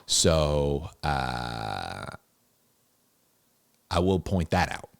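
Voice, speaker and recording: A man's voice: a bass voice, big pitch swings, very slow, vocal fry, dry recording. A man giving informal, unscripted Bible teaching in a conversational, emphatic way, repeating key phrases for stress. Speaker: host of a Bible-explainer series, teacher.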